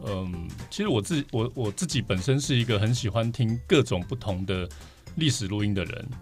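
A man's voice: fairly low pitch, lively intonation, brisk, a little mellow, soft background music. A man with a Taiwanese Mandarin accent, chatting casually as a podcast guest and telling anecdotes with playful asides. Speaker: podcast guest, vinyl record collector. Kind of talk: casual interview storytelling.

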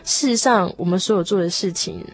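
A woman's voice: medium pitch, fairly quick. A woman with a Taiwanese accent talking reflectively and conversationally as a guest, earnest and optimistic. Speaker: guest on a radio show.

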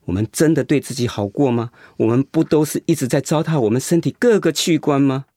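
An actor playing a determined, encouraging male cancer patient in a Mandarin radio drama, with a husky voice. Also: medium pitch, fairly quick, mellow, no room sound, studio quality, lightly acted. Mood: earnest, encouraging.

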